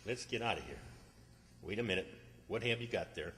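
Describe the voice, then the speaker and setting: A man's voice: on the low side, lively intonation, fairly quick, a bit bright, slight background noise. A man playing an impatient, bossy country man from Arkansas in a stage recreation of an old-time radio comedy. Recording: echoey hall, far from mic.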